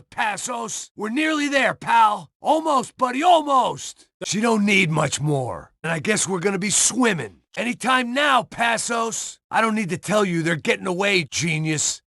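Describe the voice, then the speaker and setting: A tough bodyguard from a video game, pushing his voice up high, bright and gravelly as he hollers orders. He is gruff and impatient, dry and close to the mic, and the pitch swings widely between shouts. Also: animated, a little slow, hardly any pauses, no room sound, full character voice. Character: tough middle-aged male bodyguard in a boat chase. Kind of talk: video game combat barks.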